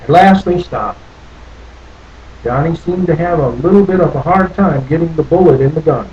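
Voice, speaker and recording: A man's voice: on the high side, moderate pace, a little mellow, dull audio. A man reading a children's story aloud expressively, theatrically lingering over phrases. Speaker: storybook reader. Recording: noticeable background noise.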